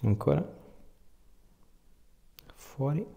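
A man's voice: medium pitch, very animated, drawn-out words, mellow. A man in his thirties, a chiropractor in a small treatment room, giving a patient short, informal hands-on instructions. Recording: roomy echo, clear background noise, clicks.

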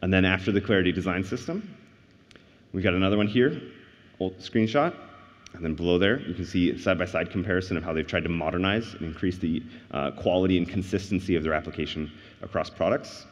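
A man's voice: sits low, fast talker. A man in his thirties giving a tech conference keynote on a large stage, speaking earnestly and explaining step by step. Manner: earnest, explanatory, rises on key points. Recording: dull livestream audio in a reverberant hall.

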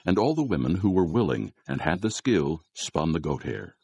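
A man narrating scripture as a scripted dramatized audio Bible, with a gravelly, husky voice and measured, solemn storytelling. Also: sits low, big pitch swings, warm tone, no echo, highs cut off.

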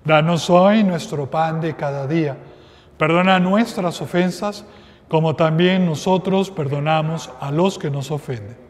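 A middle-aged man, a priest, reciting the prayers of Mass in a slow, solemn way. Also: a little high. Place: small chapel with altar. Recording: long reverb of a chapel.

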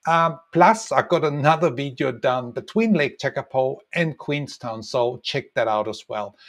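A middle-aged man, a tour guide, talking relaxed through a road trip on a map with lots of ums and uhs, recorded at home on a webcam. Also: mid-range voice. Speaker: tour guide and travel designer. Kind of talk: casual map walkthrough narration.

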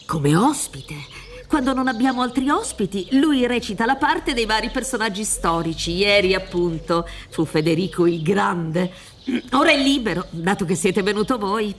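A coaxing defence lawyer in a radio drama, played by a middle-aged woman, confiding and solicitous with the client. The pitch is middling, and there is a light noise behind the voice. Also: expressive, moderate pace, lightly acted. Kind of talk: radio play acting read from script. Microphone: studio mic on boom at desk.

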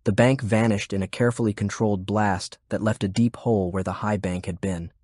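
A man's voice: lowish voice, steady tone, a little fast, darkish tone, no room sound, clean studio sound. A man reading scripted war fiction as an audiobook narrator.

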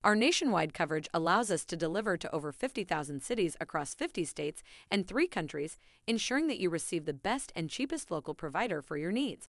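A woman's voice: fairly low pitch, expressive, a little fast, hardly any pauses, no room sound, studio quality. A woman reading a scripted promotional narration as an advertising presenter, with polished, evenly phrased delivery.

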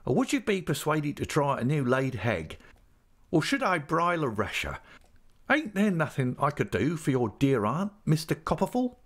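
Guy doing a fussy london landlady, pitched up, fawning, drops her aitches, storybook character. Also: very animated, normal speed. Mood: ingratiating. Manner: dropped and added aitches, obsequious. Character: fawning working-class London landlady, overly attentive.

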